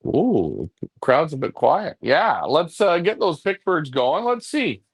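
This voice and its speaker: A man's voice: fairly high pitch, big pitch swings, slow, gravelly, slightly rough, no echo, clean studio sound. A man co-hosting a pigeon racing show, chatting casually and telling stories as he goes. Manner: rambling storyteller, puts on voices in quoted talk. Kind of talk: casual chat.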